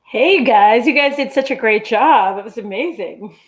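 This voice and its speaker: A woman from New York talks casually as an interview guest and writer. Her voice is fairly high, and her manner is informal and giggly. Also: expressive, normal speed.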